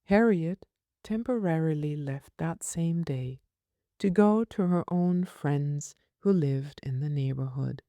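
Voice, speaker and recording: A woman reading a literary novel aloud as an audiobook narrator, in a measured, deliberate manner. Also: sits low, warm tone, no room sound. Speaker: audiobook reader.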